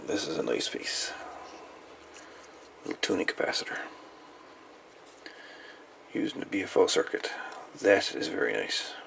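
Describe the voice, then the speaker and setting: A man's voice: low-pitched, big pitch swings, a little slow, gravelly, long pauses, a bit bright, slight background noise, poor audio. A man hosting a hobby video, chatting casually and unscripted in a folksy way as he shows things off. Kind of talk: casual show-and-tell of salvaged radio parts.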